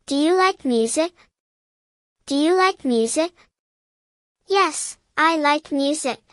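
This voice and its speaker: High-pitched woman, slow English lesson narration. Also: lively intonation, no echo, studio quality. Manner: careful, evenly measured, simple phrases. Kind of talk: scripted beginner language drill, each sentence repeated.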